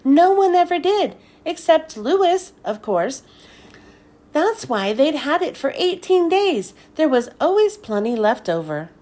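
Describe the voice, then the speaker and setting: A woman reading a children's story aloud as scripted narration, with expressive, deliberate delivery. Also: high-pitched. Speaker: children's book read-aloud host.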